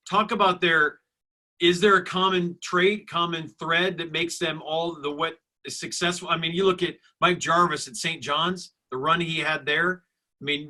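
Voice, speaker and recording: A man's voice: a little high, pushed and bright. A man hosting an interview, asking loose, rambling questions in a casual tone. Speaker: interviewer, host.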